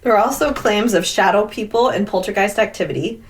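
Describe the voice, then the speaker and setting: A woman's voice: mid-range voice, fairly quick. A woman co-hosting a paranormal podcast, chatting and telling stories casually in a rambling way peppered with filler "like". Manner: rambling, heavy use of "like". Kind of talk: casual chat and storytelling. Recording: distant mic with background noise.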